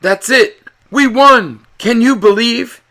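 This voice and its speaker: Man as a shrill, rowdy bat baseball fan. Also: takes it slowly, exaggerated voice. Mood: jubilant. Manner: shouting, heated. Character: rowdy, excitable bat baseball fans yelling from the stands.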